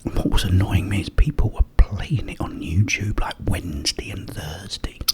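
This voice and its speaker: A British man whispering a casual, rambling ASMR story up close to the microphone, with pauses. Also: deep voice. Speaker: ASMR video creator.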